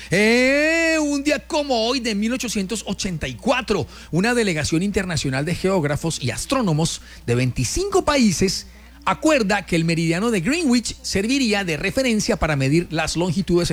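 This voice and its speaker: A man's voice: high voice, sing-song, moderate pace. A Colombian man hosting a morning radio show, chatting in an exclamatory, folksy way and addressing listeners directly. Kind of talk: lively radio show chat and presenting. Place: radio studio.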